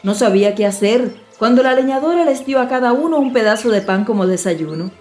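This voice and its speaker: A woman storyteller reading a scripted fairy tale in an expressive, measured way. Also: fairly high pitch, faint music behind. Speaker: audiobook storyteller.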